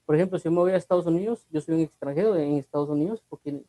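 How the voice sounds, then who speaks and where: A man's voice: on the high side, very fast, warm tone, dry recording. Guatemalan man teaching the Bible. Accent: Guatemalan Spanish. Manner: conversational, repeats himself, asks rhetorical questions. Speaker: preacher.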